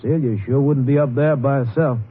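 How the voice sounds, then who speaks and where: An actor playing a harried, plain-spoken cotton compress foreman in an old-time radio drama, gruff but helpful. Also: mid-range voice, fairly even intonation, brisk, lightly acted. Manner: plain-spoken, folksy, harassed. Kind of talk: 1940s-50s radio drama acting. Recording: muffled, noisy old radio recording.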